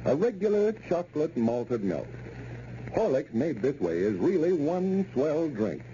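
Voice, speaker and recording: Very dark-voiced, animated American radio announcer man. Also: on the high side, measured pace. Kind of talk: 1930s radio sponsor announcing. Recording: dull, noisy old radio recording.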